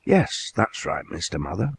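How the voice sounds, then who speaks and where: A man voicing a bright, polite teenage boy apprentice with clear speech and a slight South East Lancashire accent, earnest and respectful. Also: sits low, wildly swooping pitch, moderate pace, no echo, studio quality, full character voice.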